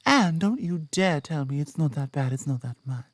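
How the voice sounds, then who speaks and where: A woman with a British accent playing an affectionate, grateful werewolf girlfriend in an intimate, halting ASMR roleplay, speaking softly close to the microphone. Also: low voice, expressive, no echo. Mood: earnest, devoted. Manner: gentle, halting, earnest, often dropping to a whisper. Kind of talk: ASMR roleplay, intimate soft speech with whispers.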